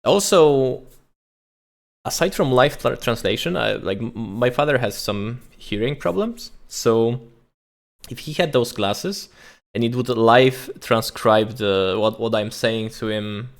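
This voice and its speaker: A man streaming a reaction to a tech talk, thinking aloud in a casual, halting way with frequent restarts. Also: mid-range voice, animated, creaky. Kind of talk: casual live reaction commentary.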